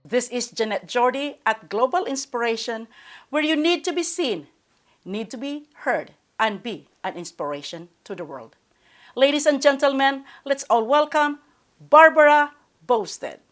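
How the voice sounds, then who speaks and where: A woman's voice: high voice, expressive, unhurried, fairly bright tone. Woman hosting an interview. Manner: formal, announcer-like introductions with slips. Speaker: interview show host.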